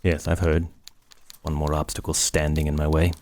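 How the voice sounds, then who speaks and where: An actor playing an intense, impatient, obsessive young medical scientist in a horror audio drama, with formal, arrogant diction. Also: male voice, very deep voice, monotone, moderate pace, a little mellow. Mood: impatient, indignant.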